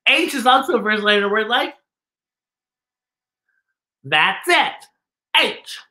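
Animated, theatrical man hosting children's story time. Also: high voice, slow pace. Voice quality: slightly impeded articulation from costume fangs. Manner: theatrical, exclamatory, playfully sing-song. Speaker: children's story-time host, drag performer. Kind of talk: playful children's show presenting.

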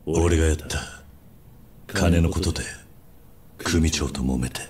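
An actor playing a stoic, loyal young gangster in a video game drama, speaking tersely and gravely. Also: male voice, extremely low pitch, measured pace, heavy background noise. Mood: subdued.

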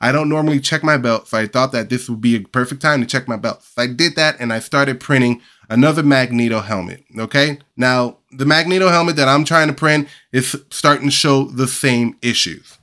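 A man's voice: mid-range voice, a little fast, hardly any pauses. A man in his thirties with a nasal voice from a cold, talking animatedly to camera in a casual home-studio vlog, exasperated at times. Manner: animated, big hand gestures, exasperated asides. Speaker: 3D printing channel host. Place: small home studio room with collectibles, indoors. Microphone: over-ear headset, webcam framing.

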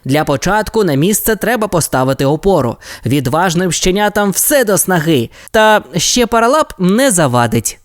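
A calm young boy leader gives orders in a reassuring, commanding voice, as a woman reading a storybook puts him on. His pitch moves a lot. The sound is dry and close. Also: normal speed, hardly any pauses, dry recording.

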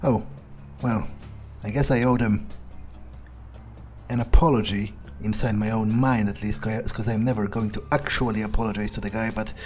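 A man's voice: fairly low pitch, steady tone, normal speed, a little mellow. A man playing a video game in character, speaking his lines and thoughts aloud in a blunt, sweary way. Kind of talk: in-character game roleplay commentary. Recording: faint music behind.

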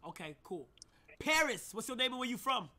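Male livestream host, bright tone, loud quick roll-call delivery. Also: extremely high pitch, big pitch swings. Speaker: stream host. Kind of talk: casual livestream hosting.

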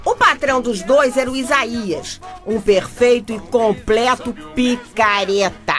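A woman's voice: fairly high pitch, a little slow, a bit bright, faint music behind. A woman playing an exasperated, sarcastic Brazilian wife who narrates a radio drama in a chatty, mocking way. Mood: scornful.

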